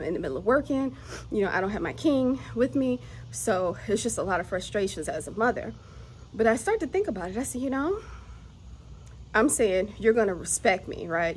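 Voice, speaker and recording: A woman's voice: mid-range voice, slight background noise. A woman, talking candidly to camera about motherhood and self-growth, laughing often. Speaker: vlogger, mother of three. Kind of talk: casual reflective monologue.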